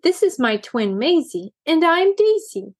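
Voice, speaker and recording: An adventurous little girl kitten, eager and exclaiming, her high voice bouncing up and down, as a woman puts her on for a storybook. Slow, with a dry close sound. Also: big pitch swings, dry recording, exaggerated voice. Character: bold curious young girl kitten.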